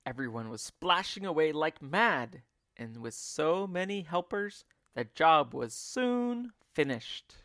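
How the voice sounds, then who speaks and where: A male teacher narrating a children's book in an expressive read-along style. His delivery is unhurried with lively swings of pitch, and the sound is close and dry. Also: no echo. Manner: expressive, deliberate storytelling. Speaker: teacher reading aloud.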